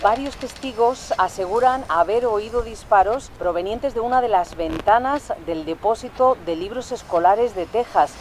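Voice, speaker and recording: An actor playing an urgent 1960s news reporter reading a breaking bulletin in an audio drama, with street sounds behind. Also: male voice, high-pitched, normal speed, hardly any pauses, noisy background, natural voice.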